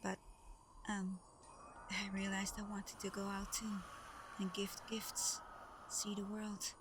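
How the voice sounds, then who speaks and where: A woman acts out Santa's adopted daughter in an ASMR audio roleplay. She is tender and a bit shy, speaking softly and often in a near whisper. Her medium-pitched voice stays fairly flat in melody, with clear ambient noise behind it. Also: slow, lightly acted. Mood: warm, reminiscing. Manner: soft, tender, hesitant, partly whispered. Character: Santa's gentle adopted adult daughter, shy, affectionate sleigh driver. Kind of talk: intimate ASMR roleplay, soft-spoken and breathy. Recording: clearly noisy background ambience.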